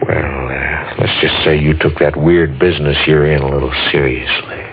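An actor playing a calm, matter-of-fact police sergeant with a measured, dry manner in 1940s radio drama style. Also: male voice, rumbling low. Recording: tinny old radio recording, faint noise.

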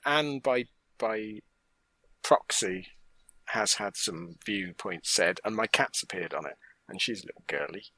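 A man co-hosting a fan podcast in casual chat, quick to agree and fond of jokey asides. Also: lowish voice, sharp tone, husky, no room sound. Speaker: podcast co-host.

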